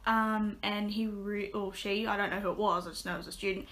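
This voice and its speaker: A young woman chatting casually to her webcam from a small bedroom, her voice a little raspy, full of ums and restarts. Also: mid-range voice, little pitch movement, brisk, a bit bright. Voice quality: slightly raspy. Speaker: vlogger. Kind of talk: casual vlog update. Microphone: webcam at desk.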